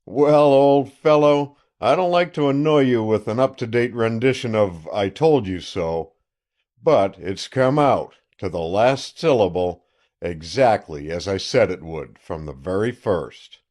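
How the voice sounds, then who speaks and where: A man reading aloud voices a self-satisfied male county sheriff who is loud, triumphant and blustering. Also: on the low side, unhurried, lightly acted. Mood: boastful.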